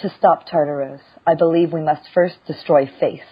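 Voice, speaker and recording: A woman's voice: deep voice, steady tone, moderate pace, husky. A woman voicing a stern, formal armored woman warrior in a fan podcast read-through, speaking in an earnest, formal way. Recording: muffled lo-fi recording.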